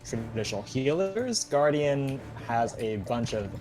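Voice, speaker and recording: A young man casually explaining game mechanics as a co-player, piecing his points together in a halting way. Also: medium pitch, sing-song, music in the background. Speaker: co-player in a let's play.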